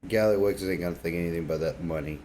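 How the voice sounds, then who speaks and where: Male co-host: relaxed dark tone, slightly low, wry casual gamer banter. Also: rapid-fire. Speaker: podcast co-host. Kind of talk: casual gaming podcast banter.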